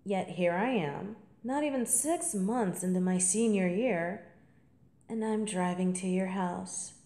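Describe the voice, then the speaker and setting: A woman playing a confident, playful college senior in an intimate, seductive audio roleplay monologue, teasing and at times breathy. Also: medium pitch, slow, mellow, reverberant. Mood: amused, confiding.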